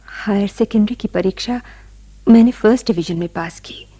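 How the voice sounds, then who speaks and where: Soft husky young wife played by an actress. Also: female voice, medium pitch, normal speed, natural voice. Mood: sorrowful, reflective. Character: gentle, bashful young village-born wife telling her sad past. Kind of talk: Hindi radio drama acting.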